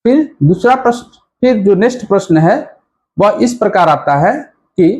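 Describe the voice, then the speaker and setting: A man's voice: high-pitched, expressive, normal speed, a little mellow. A man teaching history in an explanatory exam-prep style, repeating and rephrasing key points as he goes.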